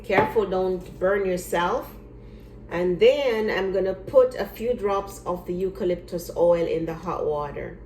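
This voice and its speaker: An older woman with a fairly low voice talks in an easy, chatty way, going over each step and circling back to repeat herself. She is recorded at home in an echoey room, with a faint hiss underneath. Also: unhurried. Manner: relaxed and chatty, repeats herself and walks through steps. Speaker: retired nurse sharing a home remedy. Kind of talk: casual home demonstration video.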